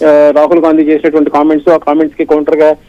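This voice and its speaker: Reporter guy talking fast, flat monotone, dull dry line. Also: fairly high pitch, no room sound. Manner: rapid, flat delivery full of "aa" fillers. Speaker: correspondent. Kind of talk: live news report.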